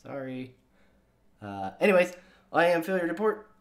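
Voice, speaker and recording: A man's voice: fairly high pitch, big pitch swings, normal speed. A man hosting his own channel in casual chat with viewers, rambling and swearing with lots of fillers, his voice sounding younger than he is. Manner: rambling and profane, with many fillers. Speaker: channel host.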